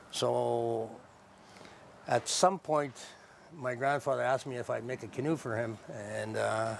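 A middle-aged man explaining his craft informally, in a plain-spoken, halting, reflective way, as a traditional builder. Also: medium pitch, gravelly, slight background noise. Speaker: traditional canoe builder and knowledge keeper. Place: outdoors under a park tent.

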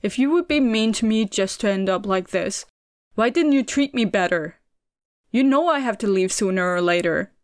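A woman's voice: medium pitch, steady tone, moderate pace, a little mellow, no room sound, studio quality, close to an everyday voice. A woman voicing an emotional young man. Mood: pleading. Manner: pleading, emotional delivery. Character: young male city boy, stubborn, hurt and frustrated.

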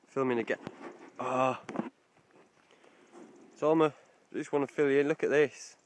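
A man's voice: medium pitch, slow pace, pauses often, slight background noise. A young man talking casually to a handheld phone while wild camping outdoors, chatty and swearing now and then. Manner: chatty, swearing, laughs easily. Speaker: vlogger, wild camper. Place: outdoors on a coastal hillside.

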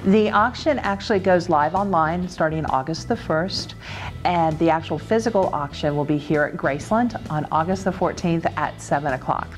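A woman in her thirties presenting items for an auction in a measured, informative way, with occasional fillers. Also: low voice, music in the background. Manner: steady, measured, lightly hesitant with fillers. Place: conference room.

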